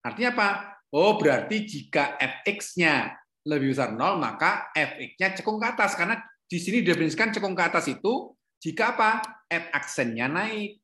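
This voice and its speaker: A man's voice: high voice, lively intonation, normal speed, bright, forceful tone. A middle-aged man teaching a calculus lesson online, asking questions and correcting himself as he goes. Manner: questioning, self-correcting, repeats "ya". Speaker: lecturer. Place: home room, not office. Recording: echoey room.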